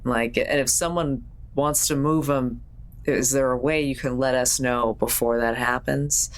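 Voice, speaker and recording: A woman's voice: rumbling low, steady tone, unhurried. A woman playing in a tabletop roleplaying game, chatting and improvising in character with dry, wry humour. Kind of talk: casual in-character improvised chat.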